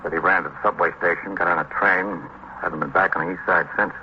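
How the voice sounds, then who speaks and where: Actor as low-voiced, rapid-talking police detective lieutenant. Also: male voice, fairly even intonation, lightly acted. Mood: terse, factual. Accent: American. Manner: clipped, matter-of-fact reporting. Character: male police detective lieutenant, terse and businesslike. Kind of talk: old-time radio police drama acting. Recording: muffled old radio recording, faint noise.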